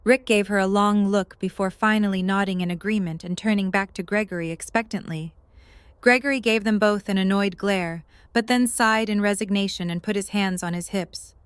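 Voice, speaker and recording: A woman's voice: medium pitch, fairly even intonation, moderate pace, clean tone, no echo. A woman reading scripted story narration for an audio drama.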